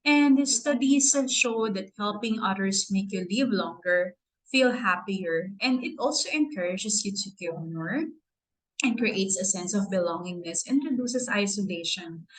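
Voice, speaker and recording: A woman with a Filipino accent speaks earnestly in a mid-pitched voice. She talks like a motivational speaker, with a gentle preachiness, and she stops now and then to ask her listeners rhetorical questions. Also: lively intonation, a little slow, low-quality recording. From Philippines. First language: Tagalog. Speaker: guest speaker. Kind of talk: motivational talk.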